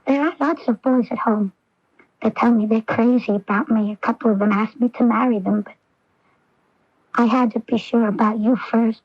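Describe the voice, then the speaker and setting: A woman's voice: a little high, fairly even intonation, normal speed, dry recording, natural voice. A woman playing an earnest, lovelorn young woman in a 1980s radio drama, intense, vulnerable and pleading. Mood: pleading, lovesick. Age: young adult. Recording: dull lo-fi 1980s AM radio recording.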